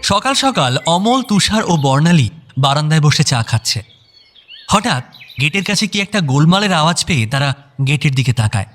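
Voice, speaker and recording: A man's voice: a little high, very animated, normal speed, fairly bright tone. A man narrating a scripted audio drama, describing each scene as a storyteller.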